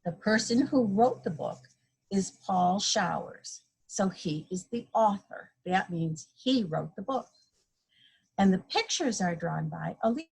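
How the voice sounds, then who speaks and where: A woman reads a children's story at a slow, deliberate pace. Her voice is gravelly and a little rough, and she explains words simply for small listeners, playing up the little sound effects. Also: medium pitch, expressive, darkish tone, poor audio. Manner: playfully acts out sound effects. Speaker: guest storybook reader, a mother. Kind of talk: read-aloud children's story time, simple teacherly explaining.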